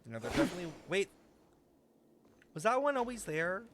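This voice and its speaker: Animated, higher-pitched young male streamer. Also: brisk, noisy background. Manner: expressive, slangy, swears freely. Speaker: video game streamer. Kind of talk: casual live reaction commentary.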